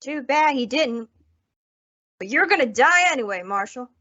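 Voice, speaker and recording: A woman's voice: sits high, expressive, normal speed, sharp tone, heavily acted. A woman playing a bitter, vengeful rancher's wife in an old-time radio western, blunt and accusatory in folksy frontier speech. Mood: vengeful, threatening. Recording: dull lo-fi radio drama recording.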